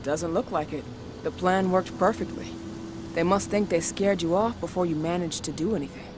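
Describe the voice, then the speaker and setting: An actor playing a supportive, weary rebel strategist in a video game, with an informal, measured way of explaining. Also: male voice, high voice, background noise, close to an everyday voice. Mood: pleased. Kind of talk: video game cutscene acting.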